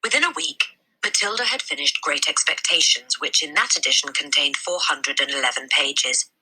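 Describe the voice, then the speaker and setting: A woman reading a children's story aloud as a teacher, with deliberate, expressive narration. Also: sits low, breathy edge, rough recording.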